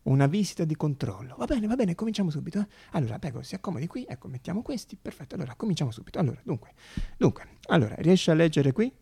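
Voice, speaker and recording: A man acts out an excitable eye doctor in a radio monologue. His voice rides high and rough, stammering and doubling back on his words with flustered eagerness. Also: racing, full character voice. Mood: nervous, fussy. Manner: stammering, repeating himself. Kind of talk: dramatic stage monologue for radio.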